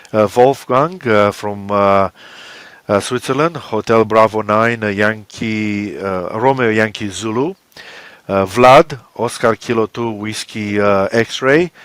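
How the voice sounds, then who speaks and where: Halting low-voiced man with smooth tone. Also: a bit bright, no room sound. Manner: halting, hesitant, with very frequent uhs. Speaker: interviewed expedition co-leader. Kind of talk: interview answers, explaining plans.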